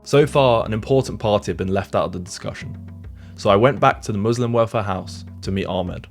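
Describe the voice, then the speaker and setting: A man's voice: fairly low pitch, a little fast, faint music behind. A man reading scripted, reflective documentary narration.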